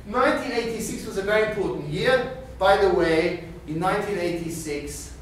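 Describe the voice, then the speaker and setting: A middle-aged man from Austria, giving a university finance lecture in a small classroom, animated and checking in with "okay?". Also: high voice, moderate pace. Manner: animated, gestures widely, peppers talk with "okay?". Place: small classroom with screen and whiteboard. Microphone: far camera. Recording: very echoey room, from far off, faint hiss.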